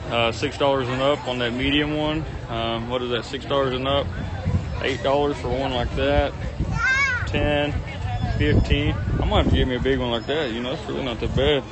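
A man's voice: medium pitch, fairly even intonation, moderate pace. A man, chatting casually and enthusiastically through a handheld phone vlog, rambling with plenty of ums and uhs while walking around an outdoor pumpkin farm. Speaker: vlogger. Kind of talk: casual walk-and-talk vlog. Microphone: handheld phone camera. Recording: crowd noise outdoors. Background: crowd chatter.

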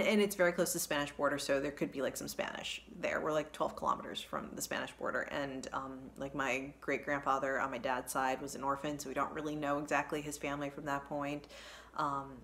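Woman, thirties, low voice, rambling casual vlog, phone mic in living room. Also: vocal fry, fairly bright tone, slight background noise. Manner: rambling, chatty, self-correcting with many ums. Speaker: cross-stitch vlogger. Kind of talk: casual chat to camera. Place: living room with kids' toys, indoors. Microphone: phone camera at arm's length.

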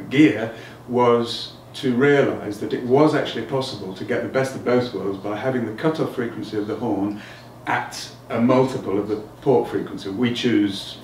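A middle-aged man giving a technical explanation as an interviewed designer, halting and thinking aloud as he goes. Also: mid-range voice. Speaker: loudspeaker designer being interviewed. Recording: roomy, distant mic, noticeable background noise.

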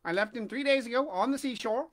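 A woman voicing a large, helpful male pigeon in a storybook, brisk and declarative. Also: on the high side, voice pushed up, close to an everyday voice. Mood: informative.

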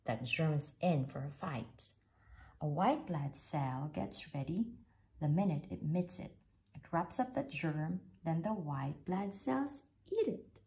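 A woman reads a rhyming children's book aloud at an unhurried pace, her voice dipping and rising expressively. Her tone is dark and mellow with a gravelly edge of fry underneath. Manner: slow, expressive read-aloud with lively pitch. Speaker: storybook reader.

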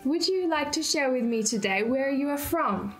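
Higher-voiced woman hosting an interview. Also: lively intonation, moderate pace, faint music behind. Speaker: radio host, interviewer. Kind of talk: conversational on-site interview.

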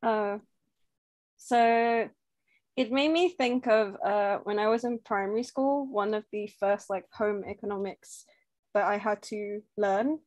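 A woman's voice: medium pitch, unhurried, dry recording. A young woman from Thailand, a native Thai speaker, casually sharing a childhood memory on a video call. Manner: chatty, animated, laughing easily. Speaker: game participant. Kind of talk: casual personal storytelling.

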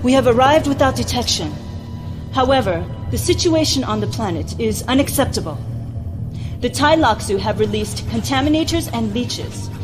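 An actress playing a cold, formal adviser in a science fiction game, briefing in a measured, clinical way. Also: female voice, on the high side, lightly acted. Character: cold, formal woman adviser of a scheming merchant house. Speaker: mission briefing officer. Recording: music behind the voice.

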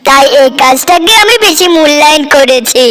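A woman's voice: high voice, moderate pace, a bit bright. A woman voicing a good-natured, contented farmer husband in a cartoon, with a coaxing, placating manner. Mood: patient, placating. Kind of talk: cartoon voice acting in dramatic dialogue. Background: background music.

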